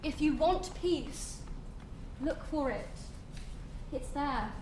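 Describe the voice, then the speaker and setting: Woman as a calm evasive afterlife guide in a play, high voice, echoey with faint noise. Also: slow pace. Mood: gentle, calm. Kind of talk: stage play acting.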